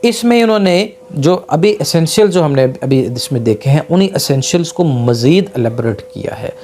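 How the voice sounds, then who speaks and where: A Pakistani-accented man lectures on law in a soft-edged, dark voice. He explains informally, restating key points and mixing in English legal terms. A faint noisy hum sits behind the voice. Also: fairly high pitch, animated, moderate pace. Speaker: lawyer teaching law.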